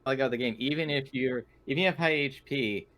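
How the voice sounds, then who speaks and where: A man's voice: mid-range voice, a little fast, a bit bright. A man talking casually as a player after a tabletop role-playing game. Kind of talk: casual post-game chat.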